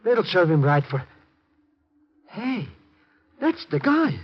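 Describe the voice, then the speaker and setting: A man playing an excitable, cowardly, greedy sidekick who stammers and wheedles in an old radio drama. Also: high voice, takes it slowly, husky, exaggerated voice. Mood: nervous, bluffing. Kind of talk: 1950s radio drama acting. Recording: muffled, noisy 1950s radio recording.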